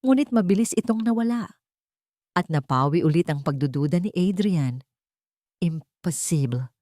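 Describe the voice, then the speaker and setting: A woman's voice: lowish voice, lively intonation, normal speed, dark tone, no echo, studio quality. A woman narrating a scripted story in a dramatic style.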